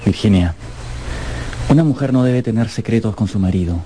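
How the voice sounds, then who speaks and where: An actor playing an adoring young duke, a tender and coaxing newlywed, in a radio drama. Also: male voice, fairly low pitch, moderate pace, dark tone, a little hiss or hum, lightly acted.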